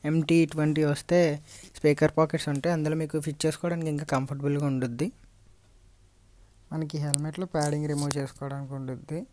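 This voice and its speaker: A Telugu man narrates a practical demonstration in an unscripted way. His voice sits a little high for a man, with very little melodic movement. He explains each step plainly, as a vlogger showing viewers how it is done. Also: level tone, quick pace, a little mellow, a little hiss or hum. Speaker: vlogger reviewing and installing gear. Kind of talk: unscripted hands-on tutorial narration.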